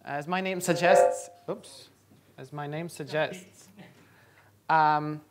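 A man's voice: a little high, steady tone, takes it slowly, loud music behind the voice. A young man from the Czech Republic, speaking as a native Czech speaker, gives a tech talk on stage. He stops often to quiz the audience. His voice comes through a handheld mic in a large lecture hall. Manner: quizzing the audience. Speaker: conference speaker.

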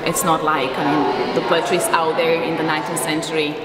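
A woman with a low voice talks casually and hesitantly, hunting for words as she rambles. She is some way from the microphone in a big hall, with loud noise around her. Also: level tone, a little fast. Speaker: poet and interview guest. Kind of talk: casual interview talk. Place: large concrete gallery hall indoors. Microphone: distant camera microphone. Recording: echoey hall, distant mic, loud background noise.

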